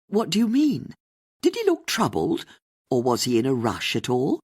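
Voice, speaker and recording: An older English lady detective voiced by an audiobook narrator, nosy and sharp. She fires pointed, probing questions in a very animated voice that leaps about in pitch. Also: normal speed, breathy edge. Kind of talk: audiobook character dialogue.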